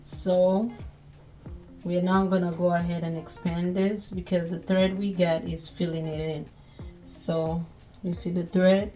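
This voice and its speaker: Nigerian woman, thirties, lowish voice, chatty crafting tutorial host, faint music under. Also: level tone, a little slow, mellow. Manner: chatty, thinking aloud while working. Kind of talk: casual step-by-step crafting tutorial.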